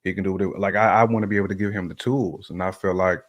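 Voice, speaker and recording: A man's voice: low voice, fairly even intonation, very fast. A man giving his opinions in casual conversation, emphatic and repeating phrases to drive a point home. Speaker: podcast guest panelist giving opinions.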